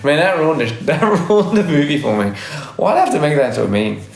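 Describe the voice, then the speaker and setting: A man's voice: fairly high pitch, very animated, brisk, vocal fry, roomy sound. A man in his thirties from Australia with a mixed Australian and American accent, chatting casually on a livestream and answering comments, rambling, correcting himself and laughing often. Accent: mixed Australian and American English. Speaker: livestream host.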